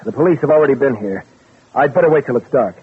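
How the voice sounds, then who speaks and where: A man's voice: medium pitch, expressive, fast talker, slight background noise, lightly acted. An actor playing a guilty man who feigns loyal innocence, guarded and placating, in a 1940s radio drama. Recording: old radio recording, narrow band.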